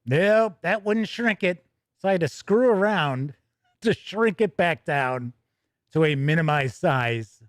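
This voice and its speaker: A man with a notably high-pitched voice hosts a casual tabletop gaming stream. He talks conversationally, correcting himself and adding small asides as he goes. The sound is very dry and close, with no sense of the room. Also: animated, slow, no echo. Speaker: host of a tabletop gaming news show. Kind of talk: casual livestream chat and news reading.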